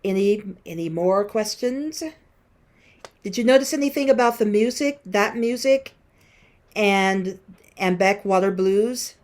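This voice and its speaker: A woman's voice: medium pitch, unhurried, darkish tone. A woman in her late fifties, a musician guest-teaching a class over a video call, telling stories and asking students questions in a conversational way. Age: 50s. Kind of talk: online classroom talk.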